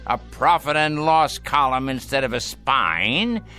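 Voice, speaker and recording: A sardonic old man of means, dying and scornful in a radio drama. He speaks slowly and deliberately, with theatrical swoops in pitch, over background music. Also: lively intonation, bright, forceful tone. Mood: biting sarcasm. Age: older. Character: dying, caustic rich old grandfather, mocking his family.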